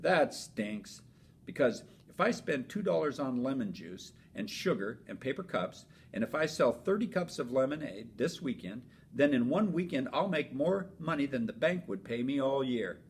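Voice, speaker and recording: A man's voice: sing-song, moderate pace. A man reading aloud as a shrewd, money-minded young boy in a storybook, questioning and emphatic. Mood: challenging. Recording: noisy with low rumble.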